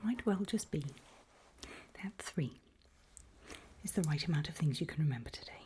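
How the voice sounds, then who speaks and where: A woman doing a whispered ASMR doctor roleplay, speaking breathily in gentle, reassuring, halting short phrases close to the microphone. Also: sits low, animated, a little hiss or hum. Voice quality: breathy, mostly unvoiced whisper. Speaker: ASMR performer role-playing a doctor giving a memory test.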